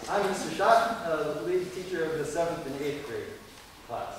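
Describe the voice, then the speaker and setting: A man who teaches, giving a halting, informal live welcome speech before a school play. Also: fairly high pitch, expressive. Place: large echoing indoor performance space. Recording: very echoey hall from far off, faint noise.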